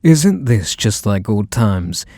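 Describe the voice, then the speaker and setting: Man playing a gravelly, possessive mafia boss. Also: on the low side, big pitch swings, no echo, heavily acted. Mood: nostalgic, smug. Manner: coaxing, drawn-out endearments, softly menacing. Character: possessive, manipulative male mafia boss, sweet-talking and menacing. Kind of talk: ASMR roleplay, intimate monologue to the listener.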